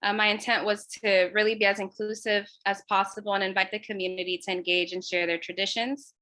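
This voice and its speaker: A woman's voice: mid-range voice, little pitch movement, fairly quick, pushed and bright. A woman from Los Angeles, a resident artist, giving an earnest prepared presentation of her community project.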